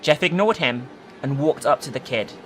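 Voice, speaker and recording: An animated narrator reads a horror story with a bright, forceful delivery. The reading swings widely in pitch and breaks into sweary, incredulous commentary. Faint music plays behind. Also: moderate pace, rough recording. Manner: expressive, sweary and incredulous in asides. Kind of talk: dramatic reading of an internet horror story with asides.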